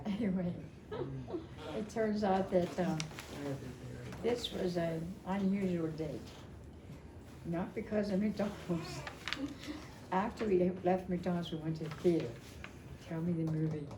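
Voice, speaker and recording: An older woman telling a personal story to a gathering in a chatty, halting way, repeating phrases as she goes. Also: on the low side, steady tone. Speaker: storyteller at a family memorial. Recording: echoey room, clear background noise.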